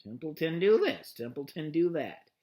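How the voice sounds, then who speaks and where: A man's voice: fairly high pitch, sing-song, normal speed, dark tone. A man doing a grumpy, growling rat. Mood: sarcastic. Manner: growling, disgusted, sarcastic. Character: grumpy, sleepy male rat, sarcastic. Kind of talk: storybook read-aloud.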